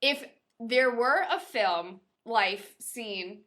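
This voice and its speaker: A woman's voice: fairly high pitch, drawn-out words, sharp tone. A woman co-hosting a podcast, playful, mixing casual banter with reading a novel aloud and correcting herself as she goes. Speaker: podcast co-host.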